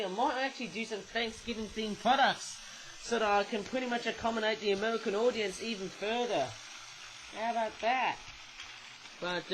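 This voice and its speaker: High-voiced Australian man rambling. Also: slight background noise, rough recording. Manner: rambling, tags sentences with "eh?". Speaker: toy reviewer. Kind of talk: casual toy review monologue.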